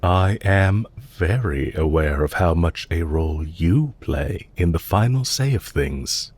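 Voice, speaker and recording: A man playing a suave, calculating villain leader in an intimate audio roleplay, speaking to the listener politely and softly, with measured, lightly teasing courtesy. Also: low-pitched, expressive, breathy edge, exaggerated voice. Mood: composed, courteous.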